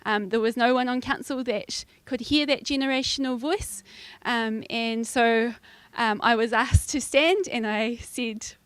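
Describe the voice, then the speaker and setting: A woman's voice: a little high, a little slow, a bit bright, smooth, dry recording. A woman, speaking hesitantly as a councillor on a conference panel. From New Zealand. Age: 20s. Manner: hesitant, laughs easily. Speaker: city councillor. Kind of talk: panel discussion.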